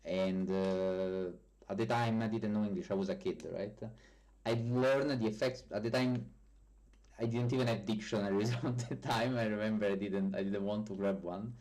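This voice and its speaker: A man's voice: lowish voice, fairly even intonation, moderate pace, darkish tone. A man with a strong Italian accent and Italian as his first language, chatting casually on a live stream as a developer answering viewer questions, often correcting himself. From Italy.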